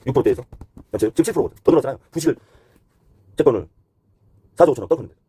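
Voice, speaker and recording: A man delivers off-the-cuff commentary at a very rapid clip. His voice is rough and husky, and his pitch moves around in a lively way as he explains things point by point, switching between polite and blunt phrasing. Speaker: host giving economic commentary. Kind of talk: unscripted explanatory commentary on economy and finance.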